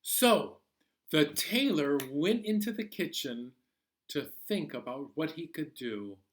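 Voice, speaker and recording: A man telling a children's story as a library storyteller, dramatically, with pauses and drawn-out words. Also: on the high side, animated.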